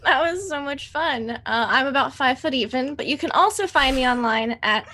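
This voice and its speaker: A woman's voice: high voice, animated, normal speed, pushed and bright, slight background noise. A woman chatting casually and playfully as a player on a tabletop roleplay stream. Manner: playful, joking. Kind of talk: casual chat.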